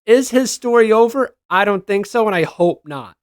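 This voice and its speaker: A man's voice: high voice, lively intonation, normal speed, clear, resonant tone, no room sound, studio quality. A man talking casually and enthusiastically as a reviewer, with informal, gushing fan commentary on a video game.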